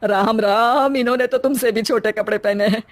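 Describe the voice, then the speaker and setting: A woman's voice: fairly high pitch, fairly even intonation, moderate pace, no room sound, natural voice. A woman voicing a scandalised, traditional middle-aged mother-in-law in a cartoon moral story, scolding with exclamations.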